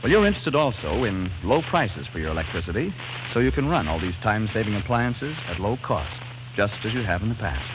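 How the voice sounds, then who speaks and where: A man's voice: fairly low pitch, sing-song, brisk, background noise. A man with an American accent reading scripted sponsor talk as a 1940s radio announcer. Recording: dull vintage 1940s radio recording, music behind.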